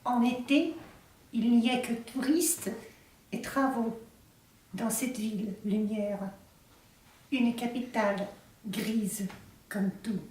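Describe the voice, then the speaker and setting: A woman from Russia reciting her own poem in a deliberate, declamatory way, in short phrases with pauses. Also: on the high side, darkish tone. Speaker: poet reading own work. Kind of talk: poetry recitation. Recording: very echoey room, distant mic, faint noise.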